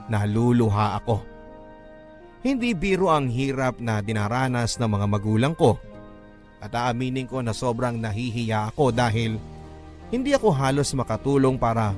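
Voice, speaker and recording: A man's voice: mid-range voice, natural voice. A man playing a remorseful young son from a poor family, narrating emotionally with a Filipino accent in a radio drama. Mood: remorseful, pained. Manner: emotional, confessional. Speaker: narrator telling his life story in a radio drama. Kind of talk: dramatic first-person letter narration.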